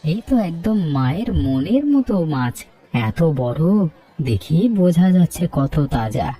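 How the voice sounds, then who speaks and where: A man's voice: fairly high pitch, sing-song, a little slow, warm tone, heavily acted. A man playing an easygoing, food-loving village family man in a Bengali cartoon story. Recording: slightly distant, music behind.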